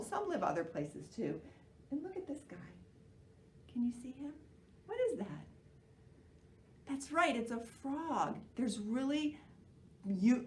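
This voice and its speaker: American lady doing kids storytime, very expressive, roomy far-off mic with a bit of noise. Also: mid-range voice. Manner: animated, simple explanations with questions to young children. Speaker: children's library storytime host. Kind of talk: children's storytime presenting and read-aloud. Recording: roomy, distant microphone, faint noise.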